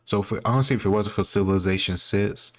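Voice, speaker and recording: A man's voice: fairly low pitch, fairly even intonation, fast. A man speaking informally as a host, reacting casually and thinking aloud as he answers quiz questions.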